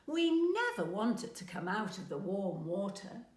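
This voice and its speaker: A woman's voice: medium pitch, very animated, unhurried, echoey room. A woman voicing a doting but competitive Kenyan-born grandmother in a storybook read aloud. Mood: fond.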